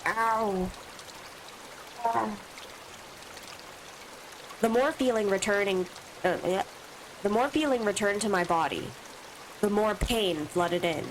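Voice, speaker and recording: Slow-talking woman streamer with allergies. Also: medium pitch, noisy background. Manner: slow, reads first-person game text with asides. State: stuffy from allergies. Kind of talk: reading a visual novel aloud on a live stream.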